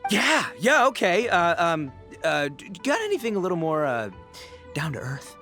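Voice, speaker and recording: Loud, excitable young gang boss. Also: male voice, high voice, moderate pace, soft background music, exaggerated voice. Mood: sheepish, hearty. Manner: loud, excitable surfer-bro slang with stammering bluster. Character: boisterous, broke, good-natured young gang boss from a fantasy land. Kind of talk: animated video game voice acting.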